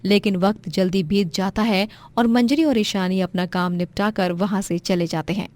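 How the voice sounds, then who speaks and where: A woman's voice: mid-range voice, moderate pace, a little mellow. A woman storyteller reading scripted narration for a moral tale.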